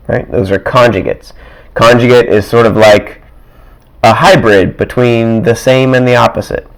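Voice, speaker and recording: A man teaching math in a casual, worked-example way, with a raspy, dry-throated voice that keeps clearing, thinking aloud with small chuckles and asides from his desk. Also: medium pitch, fairly even intonation, normal speed, rough recording. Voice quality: raspy, getting rougher.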